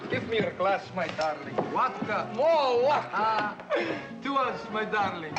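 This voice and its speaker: A rowdy joker. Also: male voice, sits high, sing-song, a little slow, muffled recording. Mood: tender. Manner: broken English, laughing, loud. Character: boisterous Russian man Igor, playful and romantic. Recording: roomy sound with loud music behind.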